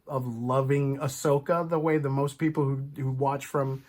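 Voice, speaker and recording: A man hosting a casual, animated chat about film and TV, acting out imagined reactions as he goes. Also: medium pitch, normal speed. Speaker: podcast host.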